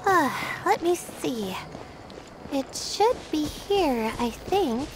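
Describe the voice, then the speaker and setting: A woman voicing a calm, thoughtful young female student in an anime-style video game, with a measured, musing delivery. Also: sits high, noisy background, heavily acted. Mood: composed, musing. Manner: measured, musing, matter-of-fact. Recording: studio voice over game music. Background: background game music.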